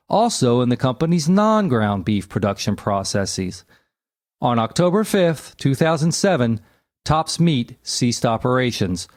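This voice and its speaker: A man narrating an encyclopedia article aloud in a measured, factual, scripted delivery. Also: mid-range voice, darkish tone, dry recording, studio quality.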